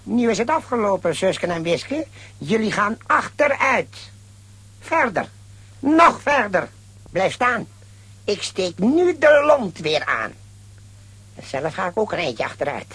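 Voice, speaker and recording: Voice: mid-range voice, big pitch swings, takes it slowly, pauses often, fairly bright tone, breathy edge, no room sound, full character voice. An actor in a children's radio play voicing a gloating villain with a commanding, taunting way of talking. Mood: threatening.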